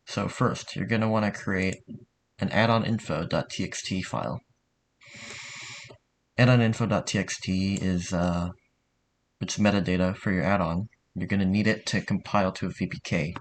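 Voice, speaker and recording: Low-voiced man giving a casual tutorial. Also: normal speed, a little hiss or hum. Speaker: tutorial host. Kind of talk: casual software tutorial narration.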